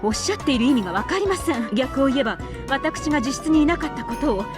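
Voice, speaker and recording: Very fast-talking, guarded proper lady. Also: high voice, lively intonation, over music. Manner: formal, defensive, guarded. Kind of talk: Japanese visual novel voice acting.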